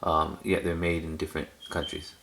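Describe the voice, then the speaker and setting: Deep gravelly man, casually rambling. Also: monotone, moderate pace, fairly bright tone. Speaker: cassette collector video host. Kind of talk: casual rambling show-and-tell.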